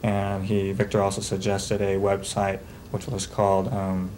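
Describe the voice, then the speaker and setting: A man gives a casual interview account in a low, monotone voice. He rambles step by step through what was said and done. Faint noise runs under the amateur video sound. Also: measured pace. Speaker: documentary interviewee.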